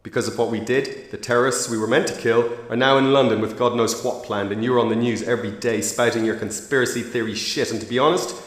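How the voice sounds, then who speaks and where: A man's voice: medium pitch, steady tone, fast, hardly any pauses, close to an everyday voice. A man playing a brutal, threatening secret agent interrogator in a radio drama, with a sneering manner. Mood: coldly menacing. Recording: echoey room with long reverb.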